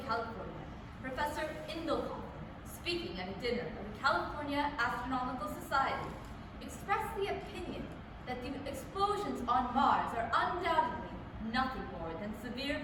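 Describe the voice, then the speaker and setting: A woman playing a formal, composed 1930s radio news announcer in a staged radio drama. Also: high voice. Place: public library room, staged reading. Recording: far off in echoey hall, noisy.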